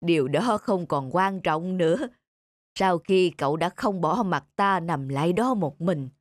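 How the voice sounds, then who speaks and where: A woman voicing a kindly, forgiving old man who speaks slowly and solemnly, in an animated moral story with music behind. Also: lowish voice, no echo, clean studio sound, close to an everyday voice. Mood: grateful, encouraging.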